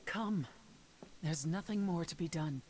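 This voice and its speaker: A grave goddess from a dramatic video game, played by a woman, with a deep female voice. She speaks in a measured, sombre, matter-of-fact manner over audible hiss. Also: a little mellow, close to an everyday voice. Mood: resigned. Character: Persephone, grave, world-weary goddess of the underworld.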